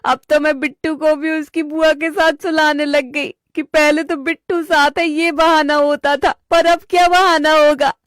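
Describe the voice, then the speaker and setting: A woman acts out a young cartoon wife, suspicious and full of complaint. Her voice is high and whiny, with dramatic pleading. The sound is close and dry. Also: level tone, unhurried, few pauses, a bit bright, no room sound. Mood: tearful complaining. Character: young suspicious, plaintive wife and daughter-in-law.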